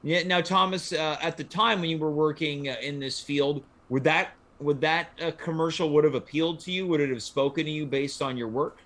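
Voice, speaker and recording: A man with a fairly high-pitched voice acts as host of a casual panel talk. He speaks conversationally and directs questions to the others. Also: fairly even intonation, brisk, few pauses, a bit bright.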